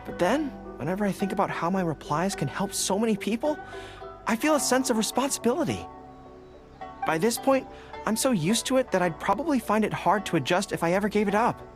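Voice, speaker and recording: A man's voice: sits high, lively intonation, a little fast. A man playing a shy, dutiful young army general in a video game, speaking in an earnest, boyish, sometimes hesitant way. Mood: earnest, pleased. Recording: game music behind the voice.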